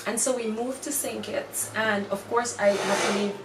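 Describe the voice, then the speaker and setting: A woman's voice: medium pitch, steady tone, normal speed. A woman in her late twenties with a Guyanese accent, reflectively recounting childhood memories as an interview guest. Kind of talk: personal storytelling in interview. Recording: echoey distant call audio with background noise.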